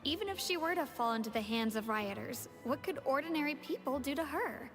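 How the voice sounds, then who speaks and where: A polite palace messenger from a game, pretty high voice, businesslike, music underneath. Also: female voice, expressive. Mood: matter-of-fact. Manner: formal, measured reporting. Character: polite, matter-of-fact female messenger from the palace. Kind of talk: video game voice acting.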